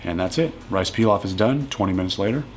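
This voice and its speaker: Man, low voice, relaxed cooking talk, faint room hum. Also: fast. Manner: relaxed and matter-of-fact, plain step-by-step talk. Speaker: strength coach cooking at home. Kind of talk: casual cooking walkthrough. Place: home kitchen.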